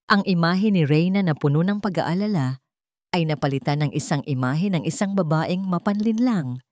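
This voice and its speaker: Female Filipino narrator for dramatic romance fiction, low register, close dry sound. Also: animated, normal speed, a little mellow, dry recording. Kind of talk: dramatic scripted storytelling, radio drama style.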